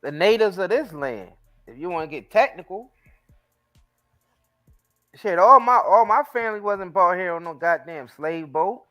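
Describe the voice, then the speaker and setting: A man's voice: sits high, lively intonation, normal speed, long pauses, pushed and bright, faint music behind. An American man co-hosting a casual talk show, blunt and slangy, with plenty of swearing. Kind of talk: casual opinionated chat.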